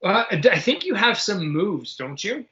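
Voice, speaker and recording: A man's voice: sits high, sing-song, normal speed, bright, forceful tone, lo-fi sound. A man running a tabletop game as game master, casually prompting his players with questions.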